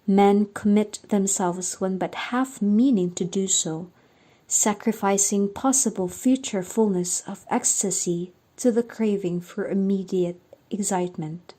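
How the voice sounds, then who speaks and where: A woman's voice: medium pitch, unhurried, dark tone. A woman narrating and announcing a scripted radio drama in a dramatic storytelling style. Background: faint music.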